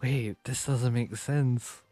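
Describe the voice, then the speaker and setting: A man's voice: medium pitch, steady tone, slow pace, mellow, no echo. A man doing casual livestream game commentary, reacting and thinking aloud. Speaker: livestreamer, VTuber. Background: game music.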